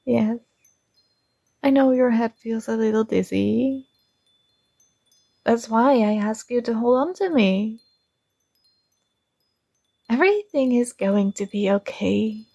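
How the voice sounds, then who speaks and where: A possessive young princess, sweetly controlling and teasing, as a woman plays her in an ASMR roleplay. Her voice sits a little high with a gravelly fry, and she takes her words very slowly. It is recorded close and dry. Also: no room sound, exaggerated voice. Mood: sweetly commanding. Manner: soft, slow, coaxing and teasing.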